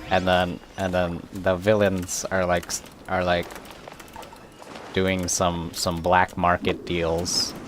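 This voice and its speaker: A man streaming a game with casual commentary, halting and searching for words in a self-mocking way. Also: low voice, monotone. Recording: clearly noisy, game sound behind.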